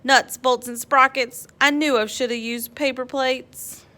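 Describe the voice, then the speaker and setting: A woman reading a children's picture book aloud as narrator, with playful dramatic emphasis and drawn-out words. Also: high-pitched, steady tone, sharp tone. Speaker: storybook read-aloud narrator.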